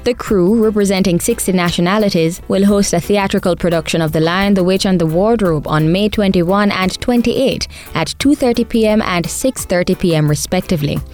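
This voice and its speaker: A woman presenting a short radio news feature in a clear, measured, formal way. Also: medium pitch, a little mellow, soft background music. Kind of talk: scripted news feature reading.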